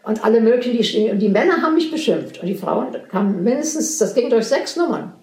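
An elderly woman with a fairly high, very mellow voice reminisces in casual conversation. She tells her anecdotes in an animated way. The room echoes a little around her. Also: a little fast. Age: 80s or older. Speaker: poet recalling her career. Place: indoors in a room. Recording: roomy echo with music.

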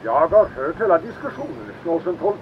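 A man plays a domineering navy captain in an audio drama. His high voice is curt and sneering, coming through a dull, band-limited radio with faint noise. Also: very animated. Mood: cold, impatient. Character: impatient, domineering, mocking male navy captain.

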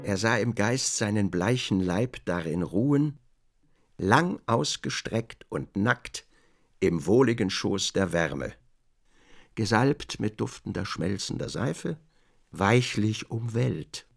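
Gravelly male literary narrator. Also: on the low side, animated, slow pace. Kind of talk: scripted literary radio drama narration.

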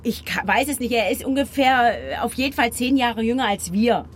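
An actress playing a middle-aged unfaithful wife in an improvised German radio comedy drama, pleading and insisting with repeated, emphatic phrases. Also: female voice, fairly high pitch, moderate pace, a little hiss or hum, natural voice. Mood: exasperated. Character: pleading, exasperated unfaithful wife on a car ride. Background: music in a car.

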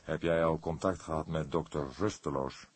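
A man voicing a calm, wry older male police inspector in an audiobook, with a measured, dryly ironic delivery. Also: rumbling low, no echo. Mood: dry, casual.

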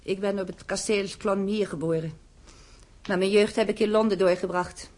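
A woman's voice: medium pitch, steady tone, moderate pace, a little mellow, close to an everyday voice. An actress playing an affectionate older aunt in a Dutch radio play, fussing and anxious in a motherly way. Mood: fond, reminiscing.

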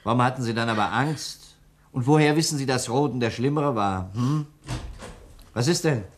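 A man's voice: medium pitch, moderate pace, natural voice. Wry, unflappable male police inspector played by an actor. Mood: probing. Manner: wry, probing, matter-of-fact. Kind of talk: 1960s German radio crime drama acting.

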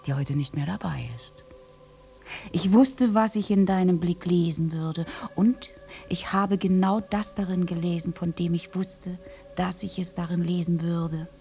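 Low, creaky soldier's bride. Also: female voice, dark tone. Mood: tender, hushed. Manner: intimate, halting, drifting from breathy whispers to intense outbursts. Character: war-scarred young prostitute, soldier's bride, raw, lovestruck, haunted. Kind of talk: German radio drama monologue.

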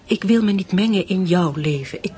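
Soft, guarded widow played by a woman. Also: medium pitch, moderate pace, a little hiss or hum, natural voice. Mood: gentle, guarded. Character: guarded, gentle German widow torn in love. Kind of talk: naturalistic radio drama acting.

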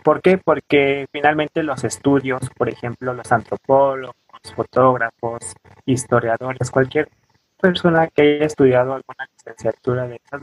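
A man's voice: medium pitch, slight background noise, low-quality recording. A young adult man from coastal Oaxaca with a Mexican accent, explaining things conversationally as an interview guest and often checking in with short tag questions. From coastal Oaxaca, southern Mexico. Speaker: podcast guest, student and weaver.

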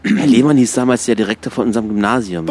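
An actor playing an eager, puzzled drinking buddy in a German comic radio play, questioning insistently in colloquial speech. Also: male voice, medium pitch, quick pace, darkish tone, slight background noise, lightly acted. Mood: musing.

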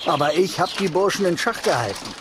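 A man's voice: high voice, expressive, brisk, background noise. An actor playing a proud, boastful former factory watchman in a radio drama. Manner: boastful storytelling.

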